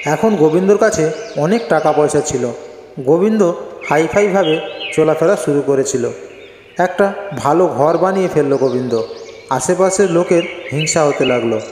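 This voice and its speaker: A man's voice: on the high side, animated, moderate pace, darkish tone, slight background noise. A man narrating a scripted cartoon folk story. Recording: echoey, long reverb. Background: faint music.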